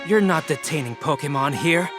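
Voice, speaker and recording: An actor playing a confident young detective in a video game, laying things out firmly and methodically. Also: male voice, fairly high pitch, expressive, moderate pace, music in the background, lightly acted. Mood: accusing, triumphant. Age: young adult. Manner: firm, methodical explaining. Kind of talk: video game cutscene acting.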